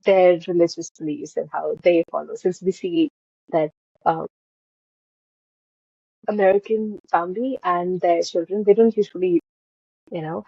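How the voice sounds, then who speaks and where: A woman host interviews a guest in a dark, husky low voice with a gravelly fry. She puts her long questions together haltingly, padding them with "uh" and "you know." The audio is dull, dry and lo-fi. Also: no echo. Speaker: podcast host.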